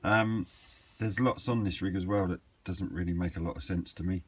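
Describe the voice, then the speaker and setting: A man's voice: sits low, steady tone, normal speed. A man chatting casually and off the cuff, hesitant as he thinks aloud in a hobby vlog. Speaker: hobbyist vlogger. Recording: clearly noisy amateur camera recording.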